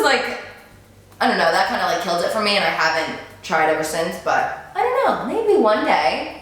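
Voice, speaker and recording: A woman's voice: fairly low pitch, fairly bright tone. A woman in her twenties, a beauty vlogger, chatting casually to camera and answering viewer questions. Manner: chatty, gushing, many "like"s and "um"s. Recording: very roomy echo.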